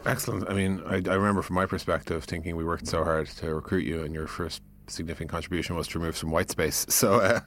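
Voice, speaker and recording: A male podcast interviewer chats casually in a low voice and moves along quickly. He has a teasing, conversational way of putting questions. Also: steady tone, a bit bright, a little hiss or hum. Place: podcast studio.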